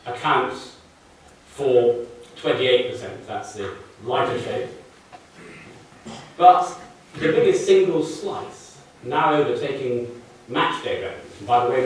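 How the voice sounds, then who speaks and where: A man's voice: fairly low pitch, slight background noise. An older man with a Mancunian accent giving a public lecture, explaining charts with dry, wry asides. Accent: northern English, Mancunian. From Manchester, England. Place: large lecture hall. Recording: very echoey hall, voice from far off.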